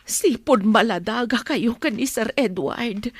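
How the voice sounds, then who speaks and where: A high-voiced, reproachful old nanny. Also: female voice, expressive, breathy edge, no echo. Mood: admonishing. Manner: earnest, admonishing, drawn-out delivery. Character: old nanny woman, reproachful, pleading, secretly a mother. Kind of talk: radio drama acting in Ilocano.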